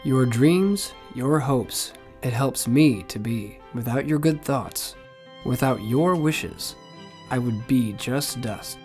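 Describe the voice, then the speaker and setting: A man playing a gentle, soft-hearted, doubtful Santa in an audio storybook. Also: mid-range voice, lively intonation, takes it slowly. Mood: kindly. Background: music.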